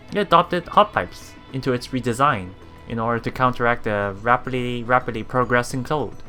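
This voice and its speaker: Bright-voiced man reading a game aloud. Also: mid-range voice. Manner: halting reading with stumbles and self-corrections. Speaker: let's play streamer. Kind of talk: reading visual novel text aloud with casual reactions.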